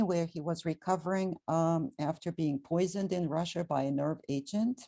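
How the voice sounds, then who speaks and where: Hoarse low-voiced woman lecturing. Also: warm tone. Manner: measured, factual delivery with frequent fillers. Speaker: guest lecturer. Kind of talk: prepared lecture. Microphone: video call.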